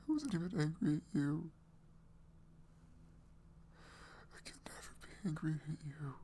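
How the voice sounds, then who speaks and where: A man playing an emotional, remorseful ex-boyfriend in an intimate ASMR roleplay, speaking softly and haltingly as he pleads and confesses. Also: slight background noise. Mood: tender apology. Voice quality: soft, breathy, low murmur with creak.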